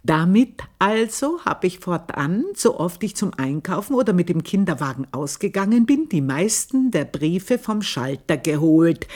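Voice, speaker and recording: An Austrian actress playing a proud, jealous village-born maidservant, telling her story in a confiding, earthy radio monologue with drawn-out emphasis. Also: lowish voice, a little mellow. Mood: sly, defiant. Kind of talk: dramatic first-person radio monologue, literary storytelling.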